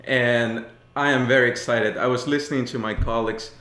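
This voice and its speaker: A man's voice: medium pitch, steady tone, brisk, bright, forceful tone. A man in his twenties from Ecuador, effusive and heartfelt in casual group conversation, in a roomy, echoey recording. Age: 20s. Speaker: baritone voice student, podcast guest.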